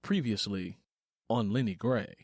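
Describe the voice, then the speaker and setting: A man's voice: on the low side, unhurried, a little mellow. A man who works as a podcast host and storyteller, speaking to listeners. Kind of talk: conversational interview and scripted audio drama narration.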